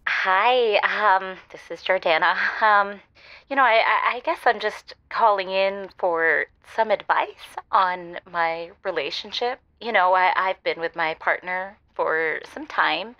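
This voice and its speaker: A woman playing an embarrassed, guilt-ridden caller on a comedy advice show, stammering and halting, in slightly dull audio. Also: mid-range voice, lightly acted. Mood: hesitant, sheepish. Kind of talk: improvised comedic call-in character acting.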